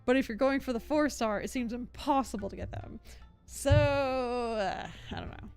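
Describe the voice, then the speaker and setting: A woman's voice: fairly high pitch, moderate pace, a little mellow, clean tone. A woman live streamer chatting casually over a game, giggly and rambling. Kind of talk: casual gaming stream chat. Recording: background game music.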